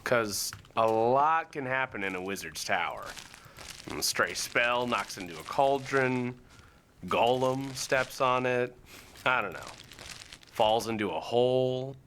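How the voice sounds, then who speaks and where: Guy acting a sarcastic fantasy cop grilling a suspect, punchy bright voice, really animated, noisy audio. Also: mid-range voice, slow pace. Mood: probing, sarcastic. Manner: leading, sardonic, pressing. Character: sarcastic, accusing male fantasy guardsman interrogator. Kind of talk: comedic dialogue acting.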